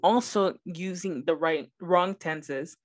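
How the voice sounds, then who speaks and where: A young woman chats casually as a podcast co-host, her first language Spanish, her voice sitting a little low. She tells stories with energy and drifts into laughter easily. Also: slow pace. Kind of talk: casual chat.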